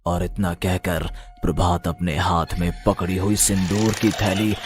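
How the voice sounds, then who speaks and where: A man's voice: sits low, flat delivery, measured pace. A man narrating a scripted horror cartoon story in a dramatic storytelling manner.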